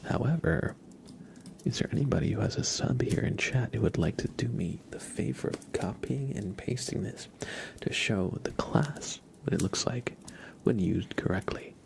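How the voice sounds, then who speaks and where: A man streaming ASMR, chatting softly and gently in a breathy, often whispered voice, unhurried and informal, close to the microphone. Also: medium pitch, lively intonation, a little hiss or hum. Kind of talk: soft-spoken ASMR livestream chat.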